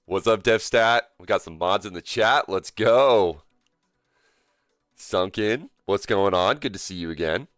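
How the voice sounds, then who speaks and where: Deep-voiced sick streamer man. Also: a little slow, fairly bright tone, no room sound, clean studio sound. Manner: loose and joking, rambling with fillers. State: sick with a cough, voice deeper than usual. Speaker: live streamer. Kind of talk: casual live-stream chat with viewers. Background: faint game music.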